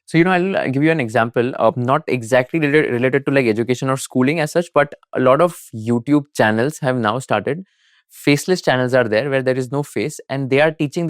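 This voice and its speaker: Podcast host: man, quick and chatty, smooth clear tone, dry room. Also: medium pitch, no echo. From India. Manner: quick, filler-heavy, many "like"s. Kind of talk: casual interview chat.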